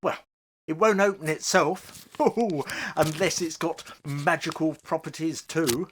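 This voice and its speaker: A woman's voice: low-pitched, slow, slight background noise. A woman playing a mild, homely working-class old husband and father in a radio play, speaking hesitantly. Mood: wry, hopeful.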